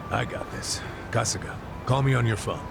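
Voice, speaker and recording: An actor in an English game dub playing a broke, boozy middle-aged man, blunt and irreverent, with a gravelly voice. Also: mid-range voice, normal speed, close to an everyday voice. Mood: sly, cautious. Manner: blunt, irreverent, streetwise slang. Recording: music behind the voice. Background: music.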